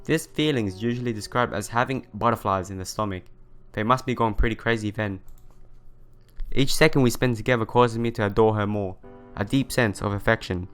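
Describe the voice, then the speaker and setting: A man hosting a let's play, reading visual novel narration aloud in an expressive storytelling manner. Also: lowish voice, smooth. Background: background music.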